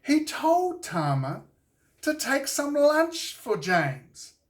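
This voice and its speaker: Slow New Zealand man reading a storybook. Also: very high voice, huge pitch swings, distant mic. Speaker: children's author reading his own book. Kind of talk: scripted storybook narration for children.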